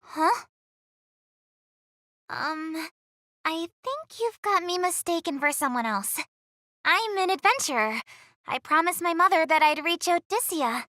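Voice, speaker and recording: A woman playing a naive, earnest young adventurer girl with amnesia in an anime-style game, with a bright, girlish voice. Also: very high voice, sing-song, measured pace, long pauses, dry recording, studio quality, full character voice. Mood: confused, apologetic.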